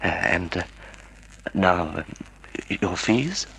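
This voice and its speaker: An actor playing a timid, polite, half-blind older husband who hesitates and stammers, in an old-time radio drama. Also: male voice, deep voice, animated, takes it slowly, gravelly, pushed and bright, slight background noise, exaggerated voice, low-quality recording. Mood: polite, hesitant. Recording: vintage radio drama recording.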